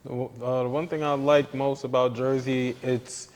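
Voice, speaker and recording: A man from New York, a guest entrepreneur, talking casually about fashion in an easygoing, slangy way. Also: mid-range voice. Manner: relaxed, drawn-out, easygoing slang. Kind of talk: casual interview chat. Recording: long reverb in the room.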